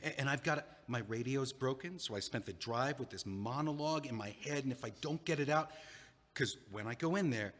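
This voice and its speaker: Casting: a man as a frazzled, stammering neighbor, mid pitch, long theater reverb. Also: fairly quick, fairly bright tone, natural voice. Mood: apologetic. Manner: rambling, stammering, exasperated. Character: flustered young man, rambling and frazzled. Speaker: playwright reading stage directions and acting. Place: performing arts theater.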